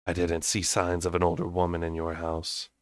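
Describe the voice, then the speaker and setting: A man voicing a reserved male fae lord in a storybook, measured and gentle. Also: sits low, monotone, no room sound, close to an everyday voice. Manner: measured, soft-spoken.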